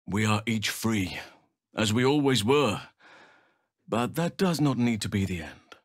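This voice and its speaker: An actor playing a gentle, solemn, protective elf druid in a fantasy video game, speaking in measured, earnest, formal phrases. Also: male voice, on the low side, lively intonation, dry recording, clean studio sound. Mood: calm, thoughtful.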